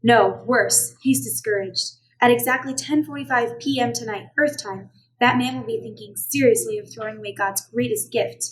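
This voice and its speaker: A woman playing a calm senior angel in charge of heaven in a radio play. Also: on the high side, moderate pace, natural voice, poor audio. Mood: firm, instructing.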